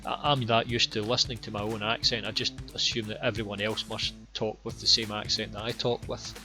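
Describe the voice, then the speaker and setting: A Scottish man guiding viewers through his online course with plain, unhurried explanations and a few ums and repeated words. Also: lowish voice, flat delivery, bright, forceful tone, faint music behind. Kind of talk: screen-recorded course walkthrough.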